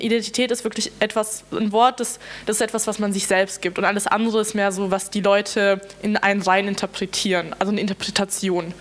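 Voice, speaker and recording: A woman's voice: medium pitch, fairly bright tone. A young woman born in Russia, speaking as a guest on a discussion panel, hesitant and searching for her words with many fillers.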